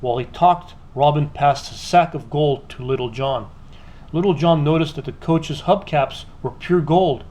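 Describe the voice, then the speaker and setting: A man narrating a children's storybook aloud in an expressive, scripted read-aloud style. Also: on the high side.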